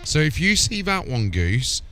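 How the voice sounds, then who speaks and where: A man's voice: a little high, sing-song, a little slow, pushed and bright, faint music behind. A man hosting a live gaming stream, talking casually as he plays and troubleshoots.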